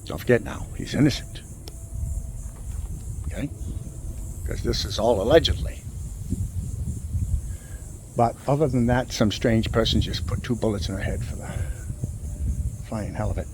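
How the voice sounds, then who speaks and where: A man telling a true crime story informally on location, in halting, dramatic short phrases. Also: on the low side. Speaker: host of a cemetery exploring channel. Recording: clear vehicle and ambient noise.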